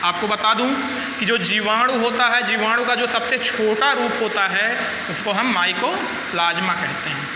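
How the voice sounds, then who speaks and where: A male teacher giving a biology lecture with a sore, hoarse throat, his tongue stumbling now and then as he keeps calling his students friends. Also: high voice. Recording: echoey hall with noise.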